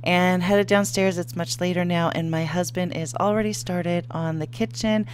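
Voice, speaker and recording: A woman in her thirties giving a relaxed, chatty voice-over narration of cleaning her home. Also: fairly low pitch, moderate pace, a little hiss or hum. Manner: relaxed, chatty, matter-of-fact.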